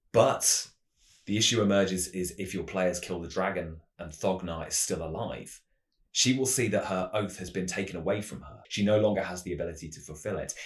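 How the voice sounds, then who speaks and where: Deep-voiced man in an echoey room. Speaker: tabletop roleplaying game channel host. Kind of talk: semi-scripted explanatory narration. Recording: roomy, echoing voice recording.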